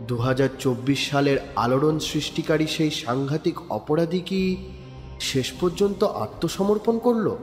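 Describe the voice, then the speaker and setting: Man narrating a crime story. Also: medium pitch. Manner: measured, descriptive storytelling. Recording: reverberant room with background music. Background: soft background music.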